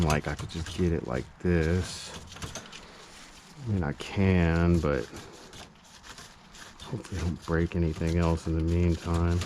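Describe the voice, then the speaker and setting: A man chatting casually and unscripted as he works on a repair for a vlog, slangy, half talking to himself and half to viewers, recorded on an action camera. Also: low-pitched. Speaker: amateur repair vlogger. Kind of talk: casual unscripted chat while working on a repair. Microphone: GoPro action camera. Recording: noisy action-camera audio.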